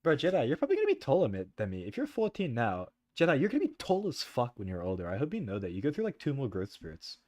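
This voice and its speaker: Young man with a lively, swooping voice. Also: mid-range voice, fast talker, few pauses, dry recording, studio quality. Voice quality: soft voice. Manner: rambling, peppered with "like" and "bro", laughs a lot. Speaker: livestreamer. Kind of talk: casual chat with stream viewers.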